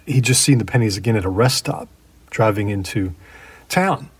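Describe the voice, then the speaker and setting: An actor playing a middle-aged man in an audio drama, thoughtfully recalling an old friend's haunting in a halting, conversational way. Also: on the low side, lively intonation, husky. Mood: hushed, uneasy. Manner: halting, thoughtful storytelling with trailing pauses. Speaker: actor playing a documentary interview subject.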